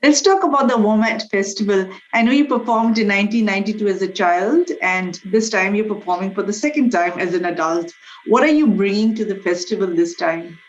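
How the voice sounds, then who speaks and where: A woman host asks questions in a conversational interview style. Her voice sits at a medium pitch, and the sound is slightly muffled with a clear room echo. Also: a little fast. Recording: dull, echoey lo-fi sound.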